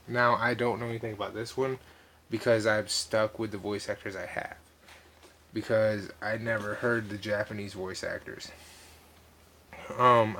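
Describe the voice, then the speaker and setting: A man giving a casual, opinionated rant as a gaming vlogger, speaking emphatically and haltingly, repeating himself and swearing casually. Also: mid-range voice, a bit bright. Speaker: video game vlogger.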